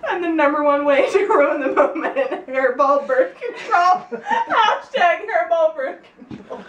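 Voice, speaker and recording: High-voiced giggly woman, echoey distant recording. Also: very animated, a little slow, highs cut off. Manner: giggly, often breaking into laughter. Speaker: reader of a birthday story. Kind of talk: reading aloud informally to friends. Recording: roomy, distant mic with faint noise.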